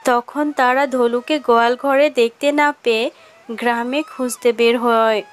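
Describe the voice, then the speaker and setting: A woman's voice: fairly high pitch, fairly even intonation, moderate pace, smooth. A woman narrator reading a scripted children's fairy tale.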